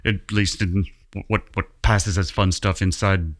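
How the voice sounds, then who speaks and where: A man's voice: low-pitched, flat delivery, unhurried. A man chatting casually as a theatre director being interviewed, with a self-deprecating manner. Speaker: community theatre director, guest.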